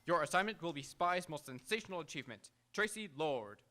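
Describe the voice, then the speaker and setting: Man playing pompous, forceful magazine boss. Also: on the high side, expressive, a little fast. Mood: grandiose. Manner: pompous, clipped, oratorical. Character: commanding, grandiose magazine publisher. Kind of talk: live radio drama acting. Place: auditorium stage, live radio theatre.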